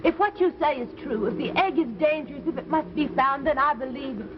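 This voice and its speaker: Devoted, anxious woman, played by an actress: high, tender voice, vintage sci-fi drama, dull old recording. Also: fast, natural voice. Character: devoted, anxious woman confidante of a troubled scientist. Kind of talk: 1950s science fiction anthology drama acting. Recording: dull, noisy 1950s broadcast recording.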